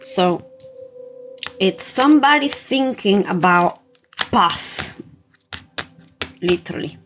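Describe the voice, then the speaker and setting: A woman speaks at a slow pace over prominent background music. She sounds like a spiritual coach doing an informal live card reading, hesitating and circling back over words as she talks to viewers. Her pitch sits in an ordinary female range. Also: expressive. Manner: hesitant, searching, often repeating words. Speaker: tarot reader and spiritual coach hosting a live session. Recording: loud music under the voice.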